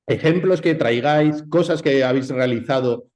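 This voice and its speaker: A man's voice: mid-range voice, normal speed, darkish tone. A man with a Spanish accent from Spain moderates a panel conversationally, in a discursive way full of short tag questions. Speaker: round-table moderator.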